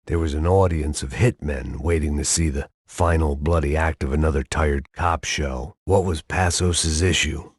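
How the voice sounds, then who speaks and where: An actor playing a weary, hard-drinking middle-aged ex-cop, delivering noir inner monologue with dry sardonic wit. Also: male voice, a bass voice, darkish tone, slightly rough, no room sound.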